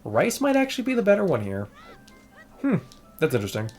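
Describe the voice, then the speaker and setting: A man's voice: high voice, wildly swooping pitch, brisk, darkish tone, soft background music. A man streaming an emulator test, chatting casually and rambling with many restarts, saying he is losing his voice. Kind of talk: casual gameplay stream chat.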